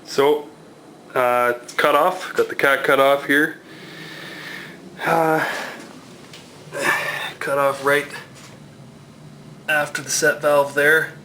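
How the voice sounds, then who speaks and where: A man's voice: on the high side, fairly even intonation, drawn-out words, pauses often, sharp tone, slight background noise. A man giving a casual, plain-spoken hands-on how-to commentary in informal, slangy language, as a hobbyist showing his own work. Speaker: motorcycle owner demonstrating a DIY exhaust swap.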